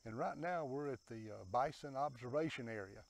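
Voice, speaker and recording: An older man reading aloud for a devotional in a measured, declarative way. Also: medium pitch, vocal fry. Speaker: devotional host and scripture reader. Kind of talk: scripture reading. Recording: very noisy recording with loud ambience.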